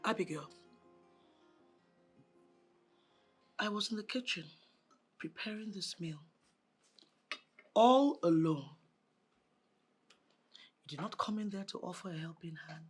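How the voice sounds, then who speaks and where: A woman playing a stern mother figure who scolds in a low, reproachful way. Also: measured pace, long pauses, husky, faint music behind.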